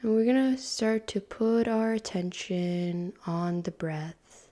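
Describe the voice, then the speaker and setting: A woman leads a guided meditation in a calm, unhurried way, with a soft, breathy voice that slips into near-whispers and long pauses between phrases. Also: mid-range voice.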